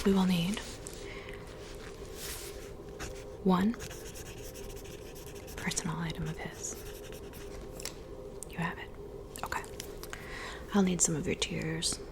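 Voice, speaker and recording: A woman playing a soft-spoken witch in an ASMR roleplay, whispering breathily and unhurriedly, as if to a client close by. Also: fairly low pitch, background noise. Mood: soft, businesslike. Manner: unhurried, intimate, addressing the listener as a client. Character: soft-spoken witch mixing love potions in her cabin.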